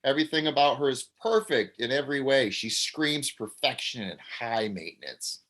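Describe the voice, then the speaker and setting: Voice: medium pitch, expressive, moderate pace, fairly bright tone. A performer reading the stage directions aloud at a table read in a plain, matter-of-fact way. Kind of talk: table read of an amateur play.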